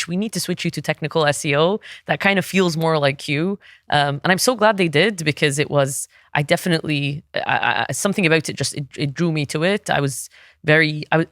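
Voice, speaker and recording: Smooth-voiced woman from Egypt. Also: sits low, a bit bright, no echo, clean studio sound. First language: Arabic. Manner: thoughtful, frequent restarts and fillers. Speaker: podcast guest, SEO consultant. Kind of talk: casual interview talk.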